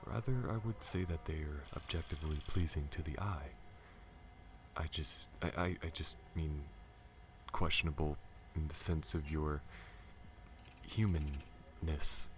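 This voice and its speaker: A man playing a gentle, bashful alien pilot in an intimate ASMR audio roleplay, speaking softly and breathily with a hesitant, tender manner. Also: very deep voice, unhurried, a little hiss or hum. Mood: gentle, awkward.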